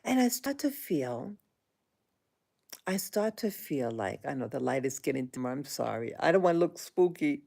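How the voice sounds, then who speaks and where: A woman in her sixties talking into her own vlog, halting and indignant, with frequent restarts and fillers. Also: very deep voice, big pitch swings, vocal fry, mellow. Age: 60s. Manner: aggrieved, indignant. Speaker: vlogger. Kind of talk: personal monologue vlog.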